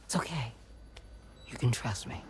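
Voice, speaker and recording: An actor playing a gentle, reassuring doctor with a soothing manner in a video game cutscene. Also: male voice, medium pitch, normal speed, background noise, natural voice. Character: gentle, reassuring medic tending pregnant patients. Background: music.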